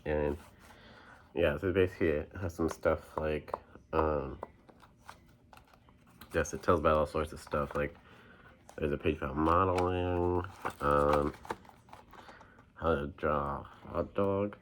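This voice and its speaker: A man's voice: extremely low pitch, steady tone, takes it slowly, pauses often, noisy background. A young man chatting casually on his vlog about his book collection, rambling with lots of ums and restarts while getting over a cold with a stuffy nose. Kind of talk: casual chat.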